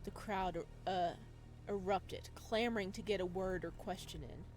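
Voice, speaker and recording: A woman's voice: medium pitch, steady tone, measured pace, a little mellow, faint music behind. A woman reading a visual novel aloud as dramatic narration for a let's play.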